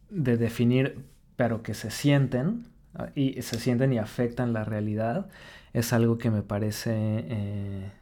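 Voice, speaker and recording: A man with a Mexican Spanish accent talks slowly and reflectively as an interview guest. He keeps pausing, restarting his sentences and adding little tag questions as he works through his ideas. His voice sits at an ordinary middle pitch. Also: a little mellow. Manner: halting and thoughtful, many fillers and restarts, frequent tag questions. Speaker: artist guest.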